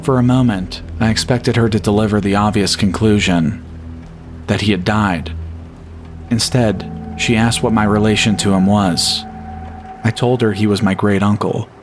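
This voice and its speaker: Guy with a lowish voice telling a scary story, solemn and measured, soft music underneath. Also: fairly even intonation. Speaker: horror podcast narrator. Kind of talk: scripted first-person horror story narration. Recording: music bed behind the voice. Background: atmospheric music.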